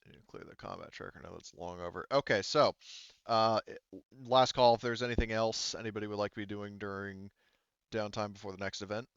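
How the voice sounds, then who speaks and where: Deep, clear-voiced man running a tabletop game. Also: fairly even intonation, brisk, a bit bright, no echo, lo-fi sound. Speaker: game master. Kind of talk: casual tabletop game session talk, explaining rules.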